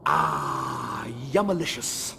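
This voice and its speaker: Man as smug hologram officer, pompous, sarcastic, very lively intonation, faint noise, sci-fi comedy audiobook. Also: extremely low pitch, slow pace, vocal fry, in a large hall, voice pushed down, full character voice. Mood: self-satisfied.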